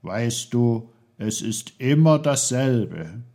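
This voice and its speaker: A tiny magical uncle from a storybook, played by a male reader, wise and kindly. He speaks slowly in a husky voice, stretching his words with emphatic, teacherly care. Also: mid-range voice, lively intonation, mellow, full character voice. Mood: instructing. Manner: emphatic, drawn-out, avuncular instructing. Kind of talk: German children's storybook character voice.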